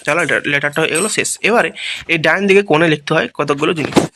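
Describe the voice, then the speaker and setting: A man's voice: on the high side, fast, sharp tone. A man with a Bengali accent giving an informal tutorial as an English teacher, explaining step by step and checking that students follow. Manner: instructional, often checking understanding with short tag questions. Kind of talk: informal tutorial, reading and translating line by line.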